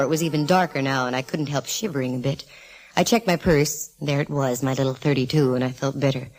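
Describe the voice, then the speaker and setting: A woman's voice: low-pitched, darkish tone, lightly acted, poor audio. A woman playing a gutsy, wisecracking private detective in 1940s radio drama, with a breezy, sardonic, hard-boiled manner. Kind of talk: 1940s radio acting with first-person narration.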